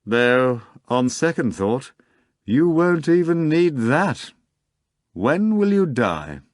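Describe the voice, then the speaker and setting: A man's voice: medium pitch, big pitch swings, takes it slowly, no room sound, studio quality, pitched above the performer's own voice. A man playing a suave, condescending magician. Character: suave, condescending foreign magician, an older devil.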